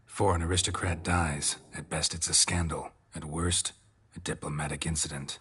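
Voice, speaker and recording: A man voicing a gruff, world-weary monster hunter in a video game, terse and dry, with a gravelly, husky voice. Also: rumbling low, level tone, moderate pace, bright, forceful tone, full character voice. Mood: dry, probing. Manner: terse, clipped, dry understatement.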